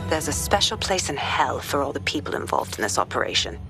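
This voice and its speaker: A woman's voice: a bass voice, big pitch swings, fairly quick, over music, full character voice. A woman playing a principled, weary police investigator in a video game, grave and determined. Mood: grim, determined. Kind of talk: video game cutscene acting.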